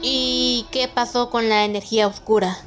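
Woman acting skeptical, high-voiced expert over music. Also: fairly even intonation, normal speed, close to an everyday voice. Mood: startled, skeptical. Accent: Latin American Spanish. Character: skeptical, brisk adult female archaeology expert. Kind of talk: dubbed short film dialogue.

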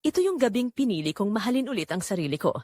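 A woman's voice: mid-range voice, sing-song, quick pace, darkish tone, dry recording, clean studio sound. A woman with a Filipino accent playing a tender, long-neglected middle-aged wife and mother, narrating her own romantic audio drama in an introspective, confessional way. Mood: tender, intimate.

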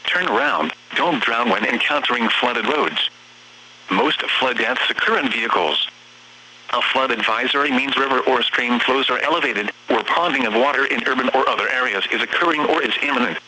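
A synthetic text-to-speech man's voice reading formal, measured emergency weather bulletins as an automated announcer over the radio. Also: mid-range voice, lively intonation, bright, forceful tone, slight background noise, poor audio. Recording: buzzy, humming weather radio broadcast.

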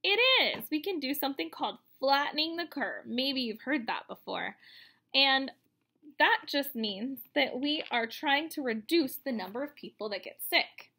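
Woman, thirties, high bright voice, expressive read-aloud for kids. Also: moderate pace. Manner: expressive, explanatory, speaking simply to children. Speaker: teacher reading a children's book aloud. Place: indoors, room at home.

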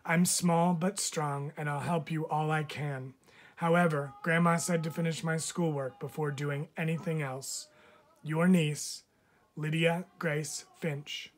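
A man, a teacher, reads a picture book of letters aloud with expressive, measured phrasing. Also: a little high. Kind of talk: storybook read-aloud of letters.

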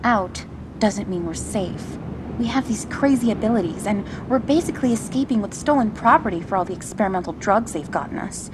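A woman's voice: mid-range voice, animated, brisk, noisy background, natural voice. An actress playing a fiery, sarcastic young woman on the run in an audio drama. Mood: defiant. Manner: snappy, sarcastic. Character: fiery, sarcastic young female escaped test subject.

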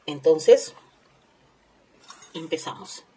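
A woman's voice: sits low, lively intonation, very slow, mellow, slightly rough. A woman hosting an informal step-by-step craft tutorial, instructing with repeated phrases and tag questions. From Peru. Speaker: host of a crochet tutorial channel.

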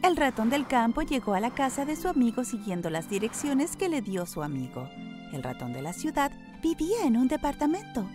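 A woman narrating a scripted children's story with an expressive storyteller's delivery. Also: a little high, fairly quick, over music.

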